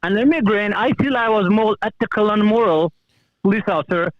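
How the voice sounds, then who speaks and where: High-voiced Afghan-accented man on a dull call. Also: a little fast, no echo. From Kabul, Afghanistan. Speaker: call-in guest, former police officer. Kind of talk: personal account in conversation.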